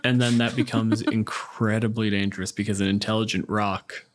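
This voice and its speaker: A man's voice: lowish voice, little pitch movement, normal speed. A man hosting a casual tabletop gaming podcast, talking in an explanatory, storytelling way. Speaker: podcast host.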